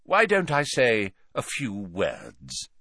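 Smooth pompous old prof, slow and grand, kinda far off, man doing the voice. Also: on the low side, wildly swooping pitch, bright, forceful tone, no echo, studio quality, full character voice. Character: pompous older male professor, smooth and greedy.

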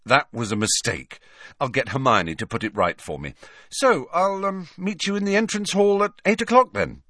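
A man's voice: medium pitch, lively intonation, normal speed, pushed and bright, no room sound, clean studio sound, voice pushed up. A man playing an awkward, friendly teenage schoolboy in an audiobook, plain-spoken and a little hesitant. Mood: awkward, earnest. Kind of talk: audiobook character voice.

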